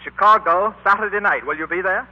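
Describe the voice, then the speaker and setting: A man playing a genial, fair-minded bandleader in a 1940s radio drama, with a husky voice and measured, avuncular manner. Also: high-pitched, lightly acted. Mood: genial, assured. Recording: muffled 1940s radio recording.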